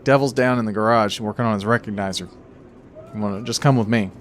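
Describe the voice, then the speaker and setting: A man's voice: fairly low pitch, fast, pitched below the performer's own voice, close to an everyday voice. Man playing a casual computer-program henchman, faint noise. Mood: casual, curious. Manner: casual, offhand.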